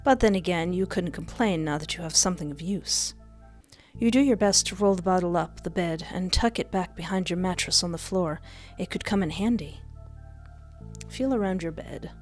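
A playful, expressive woman doing a let's play, reading game text aloud and commenting, close on a desk microphone. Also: fairly low pitch, moderate pace. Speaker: gaming streamer. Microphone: close desk microphone with headset. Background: game music.

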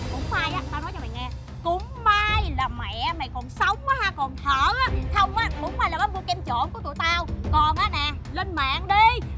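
A coarse, taunting seller of shady beauty cream, played by a woman, jeers in a very high voice. Heavy music sits under her lines. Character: brash, rude woman selling fake beauty cream. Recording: loud music bed behind the voice.

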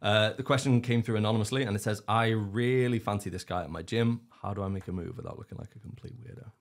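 A man's voice: lowish voice, fast. A man who works behind the scenes of a podcast, chipping in with a question and his own story. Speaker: podcast producer and photographer. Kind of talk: casual chat.

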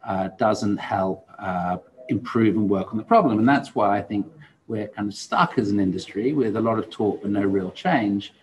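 A man's voice: lowish voice, normal speed, a little hiss or hum, rough recording. A man in his forties speaking casually as a podcast host in conversation. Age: 40s. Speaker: podcast host and law firm founder. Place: indoor room, seated.

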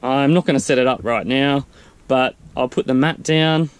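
A man casually walking through his vehicle camping setup, explaining in a relaxed, practical way with fillers. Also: mid-range voice, moderate pace, clear, resonant tone. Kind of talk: casual walkthrough vlog. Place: outdoors beside a vehicle in a grassy field. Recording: faint wind noise outdoors.

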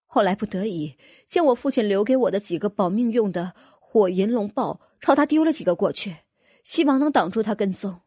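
A woman's voice: mid-range voice, expressive, brisk, darkish tone, husky, no room sound, studio quality. A woman voicing an anxious dragon noblewoman in a fantasy audiobook, earnestly recounting a frightening ordeal with apprehension. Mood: distressed.

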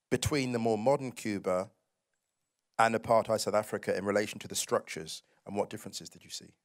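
A man's voice: lowish voice, dry recording, studio quality. A young man from the United Kingdom moderating an outdoor festival panel, asking curious, probing questions into a handheld mic. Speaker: writer and moderator. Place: outdoor festival stage among trees. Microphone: handheld microphone.

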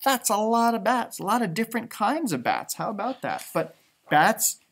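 A man in his thirties with an American accent reading a picture book to children, adding chatty, wondering asides in an expressive way. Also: sits high, normal speed, creaky, a little hiss or hum. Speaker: storybook reader for children. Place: indoors, a library with bookshelves.

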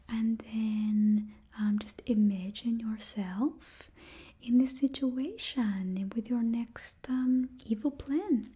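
A fussy stylist to a super villain murmurs in a put-on Russian accent, played by a young woman, coaxing and dropping articles. Her voice is an airy, breathy whisper, fairly high yet mellow. She talks slowly, right up against a close mic in a small studio. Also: exaggerated voice. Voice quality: broken grammar, drops articles. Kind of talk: ASMR roleplay whispering. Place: small indoor studio before a backdrop. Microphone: close sensitive mic, face near camera.

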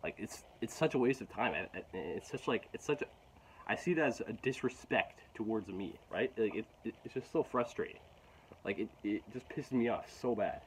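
A teenage boy rambling to his phone camera in a garden, frustrated and halting, with lots of restarts and fillers. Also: fairly low pitch, expressive, slight background noise, poor audio. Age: teens. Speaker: vlogger. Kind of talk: casual rambling vlog talk. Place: outdoors in a garden. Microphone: phone camera at arm's length.

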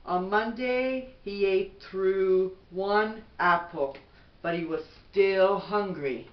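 A middle-aged woman reads a bedtime storybook at an unhurried pace. She is some distance from the camera mic in an echoey room. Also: mid-range voice, muffled recording. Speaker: mother reading a bedtime story. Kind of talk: slow storybook read-aloud. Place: small bedroom at home, indoors. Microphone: camera a few feet away.

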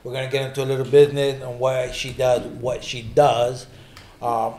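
A man with a mid-range voice co-hosts the podcast, setting up the conversation in relaxed, plain speech. His words carry the roomy echo of the studio. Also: medium pitch, moderate pace. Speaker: podcast co-host. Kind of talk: interview questions. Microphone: desk boom mic.

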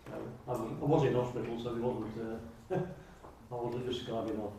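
A man chairing a formal council meeting in a halting, hesitant way. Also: medium pitch, steady tone, warm tone. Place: indoor public meeting room. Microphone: distant camera microphone. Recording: very echoey room, distant mic, noisy.

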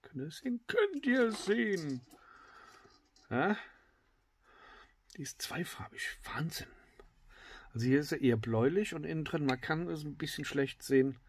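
A middle-aged man with a slightly congested voice, reviewing a knife in a casual, filler-heavy way that often drops to excited whispers. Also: on the high side, moderate pace, pauses often, a little hiss or hum. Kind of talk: casual tabletop product review. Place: indoors at a wooden table.

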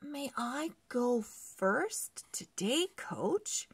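An eager, determined young schoolboy from a children's storybook, voiced by a woman reader. He speaks earnestly and emphatically. The pitch moves around a lot, over a breathy tone. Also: big pitch swings, extremely slow, no echo, exaggerated voice. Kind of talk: children's storybook character reading.

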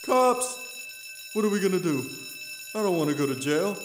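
A man's voice: sits high, normal speed, background noise. Man acting a naive, swooping-voiced crook sidekick. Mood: panicked. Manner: simple, earnest, excitable. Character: naive, good-hearted dim male crook sidekick. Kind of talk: audio theater acting.

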